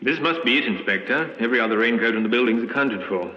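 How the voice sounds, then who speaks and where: A man's voice: mid-range voice, lively intonation, fast talker, lightly acted. An actor playing a businesslike, dutiful male police sergeant in an old radio crime drama. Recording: reverberant dull vintage radio recording.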